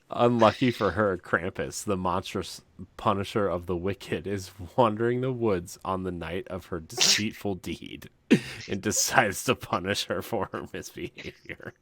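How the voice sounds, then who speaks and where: A man's voice: low voice, unhurried, a bit bright, dry recording. A man co-hosting a podcast, doing comic readings for friends and often breaking into laughter as he reads. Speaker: podcast co-host. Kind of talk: comic dramatic reading of book descriptions among friends.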